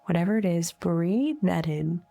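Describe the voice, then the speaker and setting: A woman leading a guided meditation in a soothing, unhurried, gently instructive way, with breathy phrase endings. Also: on the low side, lively intonation. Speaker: guided meditation host, energy healer. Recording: soft music bed behind voice. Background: faint music.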